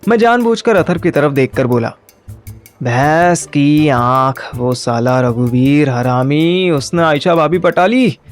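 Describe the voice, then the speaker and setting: A man's voice: fairly high pitch, takes it slowly, faint music behind. A man narrating a first-person story and acting its dialogue in colloquial Hindi mixed with English. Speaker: narrator and lead character of an audio story.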